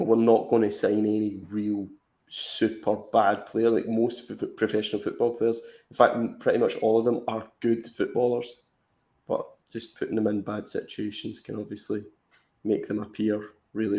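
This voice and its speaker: A man's voice: on the low side, warm tone, echoey room. A man co-hosting a fantasy football live stream, chatting casually and rambling with lots of fillers and restarts. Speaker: fantasy football podcast co-host.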